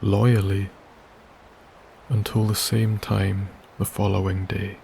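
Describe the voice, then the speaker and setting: A man narrates a sleep story in a soft, soothing voice, low and nearly flat in pitch. He speaks very slowly, leaving long pauses between phrases. A steady background hiss sits under his voice. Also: gravelly. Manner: slow, soothing, gentle delivery with long pauses. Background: wilderness ambience.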